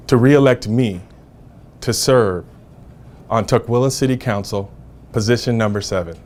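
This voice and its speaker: A man who sits on the city council, asking to be re-elected with measured, deliberate phrasing. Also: on the low side, darkish tone, slight background noise. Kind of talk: campaign statement to camera.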